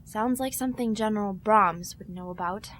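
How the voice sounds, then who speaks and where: A woman playing an earnest, sharp starship security lieutenant in an audio drama, serious and direct. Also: mid-range voice, moderate pace, a little hiss or hum, lightly acted. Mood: wary.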